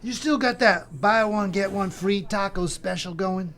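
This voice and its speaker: A man's voice: sits high, unhurried, fairly bright tone. A man playing Death as a brash, glib, freeloading cousin who rambles in bro talk in an audio comedy drama. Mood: smug, pitching.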